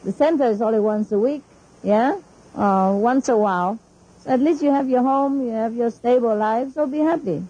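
A woman's voice: a little high, moderate pace, warm tone, slightly rough, muffled recording. Chatty Vietnamese-accented woman on old tape. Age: middle-aged. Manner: chatty, laughing often, mixing in Vietnamese. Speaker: spiritual teacher. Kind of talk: informal talk to disciples.

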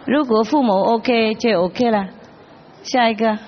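A woman spiritual teacher chatting gently with children, laughing often and switching between languages. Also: on the high side, unhurried, muffled recording, background noise. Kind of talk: casual chat with children at a gathering.

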